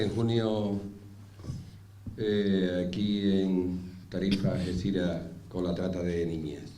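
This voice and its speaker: A man speaking off the cuff as a panelist, haltingly, repeating words and pausing mid-sentence. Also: sits low, dark tone. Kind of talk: extemporaneous panel speech. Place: indoor round-table conference room. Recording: echoey room with faint noise.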